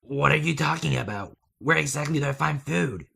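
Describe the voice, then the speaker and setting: Man voicing gravelly, anguished young wolf. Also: a little high, lively intonation, bright, forceful tone, heavily acted. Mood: flustered. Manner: halting, emotional, exclaiming. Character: anguished young male wolf, starving, devoted to his friend. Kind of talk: emotional anime fan dub.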